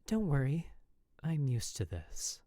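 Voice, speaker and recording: A performer voicing a calm, unworried married woman in a western audio story. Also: medium pitch, slow pace, dry recording, studio quality. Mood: calm, unbothered.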